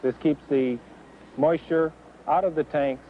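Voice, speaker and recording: Narrow, tinny old film sound with faint background noise carries a fairly high male voice. He explains things as an aviation advisor, measured and instructive. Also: fairly even intonation.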